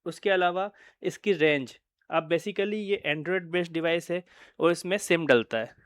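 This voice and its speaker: A man's voice: fairly high pitch, measured pace, no room sound. An Indian man giving an informal explanatory product presentation, hesitant, mixing in English phrases and often checking in with short tag words. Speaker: company presenter of wireless communication products.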